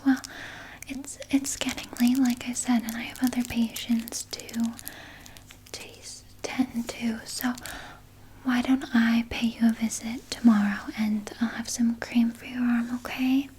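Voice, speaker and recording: A woman playing a soothing, evasive vampire nurse in a soft, breathy ASMR whisper, gently coaxing and sweetly sinister. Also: fairly high pitch, flat delivery, unhurried, a little hiss or hum, close to an everyday voice, low-quality recording. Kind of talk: whispered ASMR personal attention roleplay.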